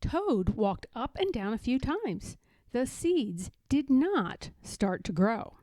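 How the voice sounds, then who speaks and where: A woman narrating a children's storybook aloud as an expressive storyteller. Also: fairly high pitch, slow, no echo.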